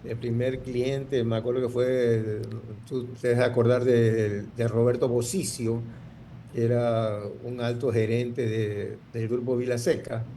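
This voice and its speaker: A man's voice: medium pitch, level tone, a little slow, dark tone, slight background noise. A man, telling his story in an interview, rambling with many restarts. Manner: rambling, full of restarts and fillers. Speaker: guest entrepreneur.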